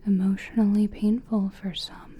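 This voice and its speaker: A woman giving a soothing, gentle ASMR personal attention roleplay in a breathy whisper, speaking deliberately. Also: medium pitch, flat delivery. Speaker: ASMR creator playing a soul-cleansing practitioner.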